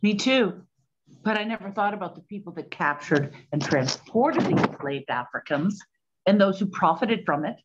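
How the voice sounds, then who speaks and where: A woman's voice: medium pitch, lively intonation, moderate pace, natural voice. A woman playing an earnest young woman of a well-off 1920s family in an amateur scripted play. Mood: troubled. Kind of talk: amateur scripted stage acting. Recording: dull, slightly noisy, clicking audio.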